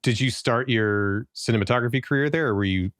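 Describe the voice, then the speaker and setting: A man hosting a podcast interview, speaking in a relaxed, conversational way from the United States, close to the mic. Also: medium pitch, fairly quick, no room sound. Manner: relaxed, conversational, a few restarts. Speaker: podcast host. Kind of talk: casual interview.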